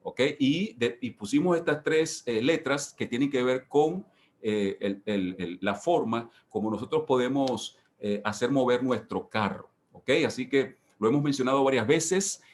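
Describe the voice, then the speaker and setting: A man's voice: medium pitch, measured pace, a little mellow. A man teaching as a pastor in an informal sermon style, emphatic and given to repeating key phrases. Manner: repetitive, emphatic, with rhetorical repetition. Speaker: pastor leading a church workshop.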